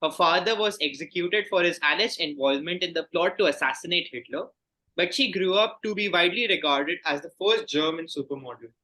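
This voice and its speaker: A man acts as quizmaster, reading questions aloud in a formal, courteous way. His voice sits a little high and has a very bright, crisp edge. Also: brisk, low-quality recording.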